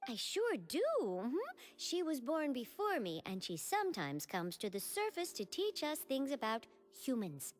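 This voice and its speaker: High, lilting, innocent creature girl. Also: wildly swooping pitch, unhurried, hardly any pauses, full character voice. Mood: gentle, kindly. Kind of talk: anime-style video game voice acting. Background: background music.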